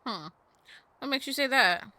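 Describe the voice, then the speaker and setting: A woman's voice: high-pitched, animated, moderate pace, sharp tone. A woman gaming YouTuber casually reacting and reading aloud during gameplay.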